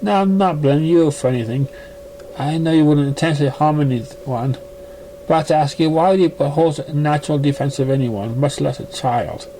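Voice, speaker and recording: Guy as a concerned young pony in a story, earnest, halting, slightly high voice, some noise. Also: warm tone, close to an everyday voice, low-quality recording.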